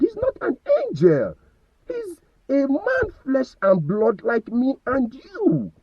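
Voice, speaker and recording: A man with a Nigerian accent delivers heated vlog commentary in a husky, high-set voice that leaps up and down. He piles up rhetorical questions and stammers through repeated words. The sound is dry and a little dull. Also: no room sound. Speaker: vlogger giving opinion. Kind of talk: impassioned commentary.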